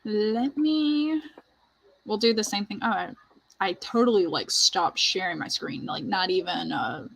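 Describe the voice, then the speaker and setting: A woman's voice: medium pitch, expressive, measured pace, creaky, fairly bright tone. A woman hosting a casual writing livestream, talking in a chatty, informal way full of "like". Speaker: livestream host.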